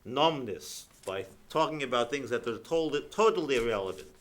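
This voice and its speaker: A man hosting a radio show, trading joking banter with quick, wisecracking comebacks. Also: mid-range voice, animated. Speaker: radio host.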